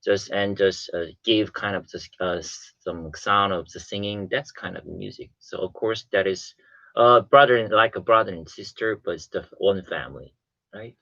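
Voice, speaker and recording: Male radio co-host. Lowish pitch, stop-start English, casual, close dull recording. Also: creaky, sharp tone, no room sound. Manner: halting, repetitive non-native English. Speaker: talk show co-host. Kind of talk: casual unscripted radio discussion.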